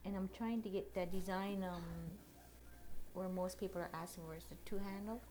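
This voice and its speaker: A woman of thirty-nine answering casual interview questions in a plain, halting way, keeping her answers brief. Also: medium pitch, fairly even intonation, dark tone, a little hiss or hum. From Oneida. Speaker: interviewee, basket maker.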